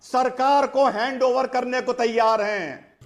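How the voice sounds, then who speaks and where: Male TV debate panelist, very high voice, unhurried pace, pressing his point. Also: steady tone, slight background noise. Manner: pressing, persistent. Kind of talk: argumentative TV debate.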